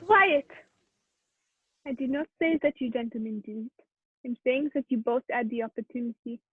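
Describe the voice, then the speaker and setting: A woman's voice: a little high, normal speed, breathy edge, no room sound. A woman playing a formal, stern French prefect of police questioning witnesses in an amateur radio play. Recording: thin, narrow phone-like sound.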